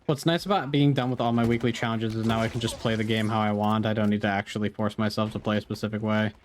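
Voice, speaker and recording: A man's voice: on the low side, flat delivery, fast talker. A man live-streaming a game, chatting casually, swearing now and then, reacting to play and reading chat aloud, a bit sniffly.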